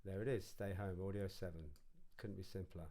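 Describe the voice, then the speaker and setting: A man's voice: low-pitched, steady tone, a little fast, dark tone. A man narrating an informal music production tutorial, casually thinking aloud as he works.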